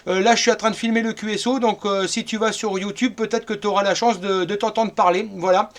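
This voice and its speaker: A man's voice: high-pitched, steady tone, brisk. A man in his fifties from northern France, chatting casually and at length as a radio operator, often repeating his words. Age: 50s. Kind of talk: casual radio contact chat.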